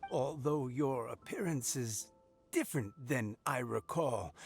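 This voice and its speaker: A frail old man from a game cutscene, with a gravelly creak in his voice. He speaks slowly and haltingly, with gentle wistfulness and wide swings of pitch. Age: older. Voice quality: creaky, aged voice. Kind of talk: video game cutscene acting.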